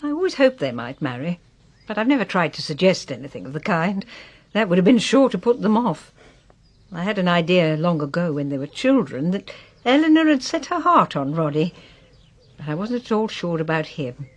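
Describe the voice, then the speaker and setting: Proud, ailing English widow, low-voiced, expressive. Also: female voice. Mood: reflective. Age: 60s. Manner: solemn, measured, reflective. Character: proud, ailing widow in her sixties, fond and wistful. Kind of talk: radio drama acting.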